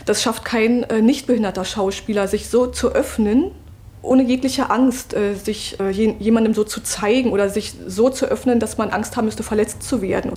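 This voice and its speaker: Female interviewee, theatre worker; mellow, slightly high, earnest, halting, thinking aloud. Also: fairly even intonation. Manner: earnest, halting, searching for words. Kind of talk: spontaneous interview reflection.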